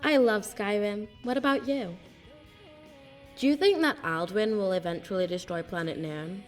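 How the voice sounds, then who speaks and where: A woman's voice: mid-range voice, normal speed, faint music behind, natural voice. A woman playing a gossipy, needy young maiden companion in a video game, with a chatty, forward manner.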